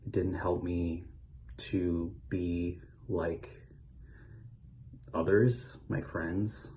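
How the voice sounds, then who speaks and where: A man's voice: low voice, darkish tone. A man from Philadelphia thoughtfully recalling his childhood, with frequent ums. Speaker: interviewee. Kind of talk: personal recollection.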